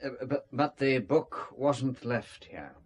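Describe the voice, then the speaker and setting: An actor playing a polite, shady driver in a radio drama, courteous but guarded and evasive. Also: male voice, mid-range voice, slow pace, slightly rough.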